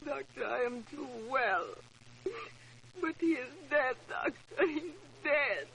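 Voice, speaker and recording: An overwrought housemaid with a foreign accent, speaking high and very slowly. Her English is broken and repetitive, heavy with emotion and secrecy. It is delivered in the theatrical manner of 1940s radio drama. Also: expressive, vocal fry, fairly bright tone, exaggerated voice, rough recording. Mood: hysterical, grieving. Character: distraught, hysterical foreign-born housemaid, secretive and insistent. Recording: old radio drama recording.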